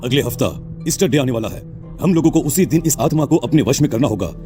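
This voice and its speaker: A man's voice: mid-range voice, expressive, fast, over music, lightly acted. A man voicing a stern, grave church Father in a cartoon drama, solemn and fatherly. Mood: grave, resolute. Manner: solemn, authoritative. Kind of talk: Hindi cartoon drama voice acting.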